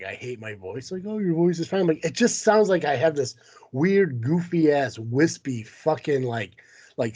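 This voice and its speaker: A man's voice: mid-range voice, a little mellow, breathy edge, no echo. A man hosting a casual podcast while sick with a cold, talking in a self-deprecating, foul-mouthed, grumbling way. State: sick with a cold, runny nose. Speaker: podcast host. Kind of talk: casual chat, ad read at start.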